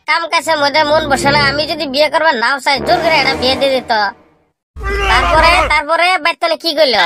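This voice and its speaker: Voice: high voice, natural voice. A performer voicing a jobless, boastful young male village slacker in a comic cartoon, grumbling in a rural Bangladeshi dialect. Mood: complaining.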